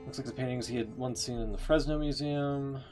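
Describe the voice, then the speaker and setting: A man doing casual, halting, wry live stream commentary as a game streamer. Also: mid-range voice, steady tone. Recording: music playing behind the voice.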